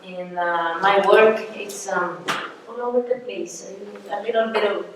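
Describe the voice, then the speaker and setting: Woman w/ a colombian accent, panel talk, relaxed with ums, distant and echoey. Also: medium pitch, noisy background. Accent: Colombian Spanish. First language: Spanish. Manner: relaxed, halting with fillers. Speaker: visual artist and art teacher, panelist. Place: large event hall. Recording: echoey hall from far off.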